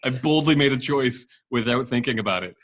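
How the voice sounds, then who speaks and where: A man's voice: mid-range voice, brisk, fairly bright tone. Rambling young man, casual. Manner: rambling, self-deprecating, lots of fillers. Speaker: actor in the ad. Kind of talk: casual chat.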